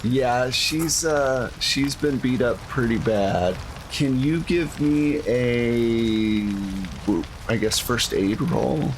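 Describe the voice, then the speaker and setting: A man's voice: medium pitch, lively intonation, very slow, slight background noise, rough recording. A man running a tabletop game, improvising narration and chatting casually and jokily with players. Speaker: game master.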